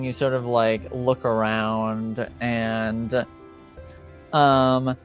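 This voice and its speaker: A man leads a tabletop game as game master, narrating with a relaxed, searching delivery full of small pauses. His voice sits at an ordinary pitch, and soft music plays faintly under him. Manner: casual, halting with fillers.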